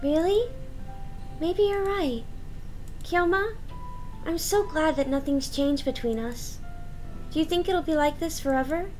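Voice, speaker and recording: Cutesy sweet clumsy teen girl, super high pitched, expressive anime game style, faint music. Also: unhurried, long pauses, poor audio. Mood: tender. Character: clumsy, cheerful, sweet teenage girl, childhood friend. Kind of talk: visual novel character dialogue.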